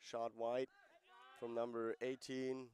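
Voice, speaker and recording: A man's voice: mid-range voice, monotone, takes it slowly, a little mellow. A man giving live play-by-play commentary on a lacrosse game, conversational and explaining rules and player backgrounds between plays.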